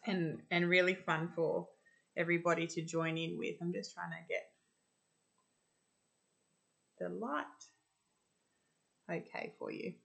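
An Australian woman in her thirties reading a picture book aloud to children in an expressive, sing-song way. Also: on the low side, vocal fry. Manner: deliberate, sing-song storytelling delivery. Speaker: primary school teacher reading storytime. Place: home kitchen, indoors.

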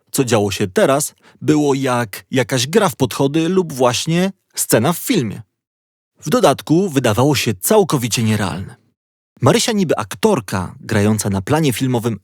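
A man reading a children's audiobook as a playful, expressive storyteller. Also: medium pitch, normal speed, dry recording, clean studio sound. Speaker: audiobook narrator. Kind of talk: scripted children's story narration.

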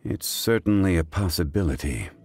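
Deep, composed gentleman scholar. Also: expressive, husky, soft background music. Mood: calm, pensive. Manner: measured, formal, deliberate. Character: composed, erudite gentleman investigator, male, young-looking, calmly analytical. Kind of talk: video game voice acting.

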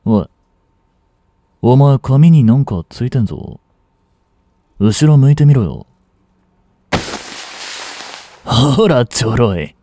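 A man acting as a cynical, aloof young street delinquent in a voice drama, with rough, casual, lazily teasing speech, close to the mic. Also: mid-range voice, lively intonation, measured pace, long pauses, warm tone, lightly acted. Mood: mocking, threatening. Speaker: voice actor. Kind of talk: Japanese voice drama aimed at women, one-sided dialogue. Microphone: close studio mic. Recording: dry close-miked studio recording.

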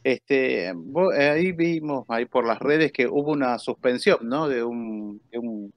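A middle-aged man with an Argentine accent, hosting a casual interview in a halting, checking way. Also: mid-range voice, expressive, dry recording. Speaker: host. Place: indoor room, on a video call. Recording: dry, slightly dull streamed audio.

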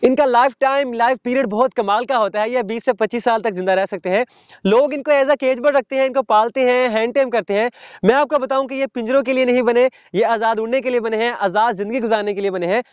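High-voiced young man teaching outdoors. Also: clear, resonant tone, dry recording, muffled recording. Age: young adult. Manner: measured, teacherly, addresses viewer directly. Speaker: wildlife channel host. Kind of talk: outdoor educational explainer. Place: open sandy ground outdoors.

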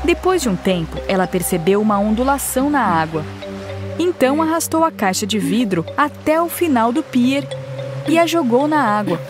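A woman reading scripted storybook narration for a cartoon with steady, expressive storytelling. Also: on the high side, moderate pace. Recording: cartoon narration with music behind.

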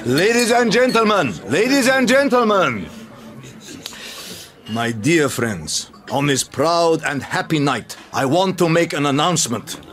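An actor playing a proud, elated racehorse owner giving a declamatory, deliberate speech in a radio drama. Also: male voice, a little high, sing-song, fairly bright tone. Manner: declamatory, deliberate, with emphatic pauses. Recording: clearly noisy recording.

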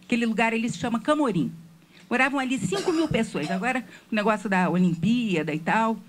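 A woman's voice: a little high, quick pace, background noise. A woman from Rio de Janeiro with a Rio accent, standing in a meeting room with a handheld microphone and asking a panel an earnest question, telling a story and posing questions. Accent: Rio de Janeiro Portuguese. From Rio de Janeiro, Brazil. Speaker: audience member asking a question. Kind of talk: audience question at a public meeting.

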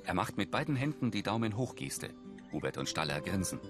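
Low-pitched male audio description narrator. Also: big pitch swings, fairly quick, faint music behind.

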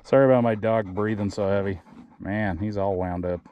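A man hosting a metal detecting video, chatting casually and getting excited over each find in a grassy field. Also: lowish voice, moderate pace, lo-fi sound. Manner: upbeat, easily excited, thinking aloud. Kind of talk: casual narration while digging. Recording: outdoor recording with clear background noise.

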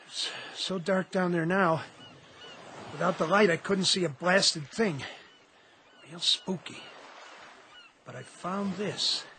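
A man's voice: sits high, slow pace, pauses often, pushed and bright, slight background noise, full character voice. An actor playing an uneasy, deferential male Navy diver in a radio drama. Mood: spooked, uneasy.

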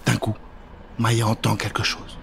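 A man's voice: on the low side, completely flat delivery, a little slow, vocal fry, a little hiss or hum. A man in his thirties telling a children's Halloween story in a dramatic, suspenseful way at a studio microphone. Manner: theatrical, suspenseful. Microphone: studio microphone with pop filter.

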